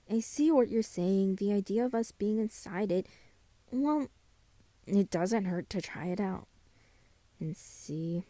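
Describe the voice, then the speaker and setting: A woman playing a self-doubting friend in a scripted roleplay, speaking softly and hesitantly at an unhurried pace. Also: mid-range voice, no room sound, close to an everyday voice. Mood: shy, reassured. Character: soft-spoken, self-doubting friend carving Halloween pumpkins.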